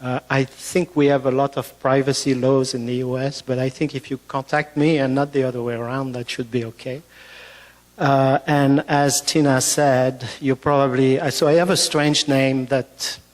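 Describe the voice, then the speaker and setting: A man's voice: medium pitch, flat delivery, normal speed. French-accented man presenting in a hall. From France. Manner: hesitant, many fillers and restarts. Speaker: physician giving a conference talk. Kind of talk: conference presentation. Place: conference hall. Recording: long reverb of a hall.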